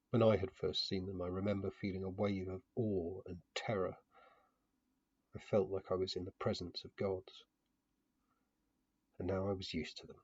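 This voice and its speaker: Guy reading a first person fantasy tale, lowish husky voice, dull homemade sound. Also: darkish tone. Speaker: narrator of a fantasy fiction podcast. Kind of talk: first-person dramatic story narration. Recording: muffled, roomy home recording.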